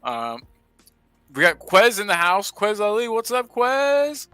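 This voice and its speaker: A man's voice: high voice, big pitch swings, takes it slowly, smooth, no room sound, soft background music. Hyped American man hosting a livestream. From United States. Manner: upbeat, hyped shout-outs to chat. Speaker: livestream host. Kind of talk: casual livestream chat reading comments.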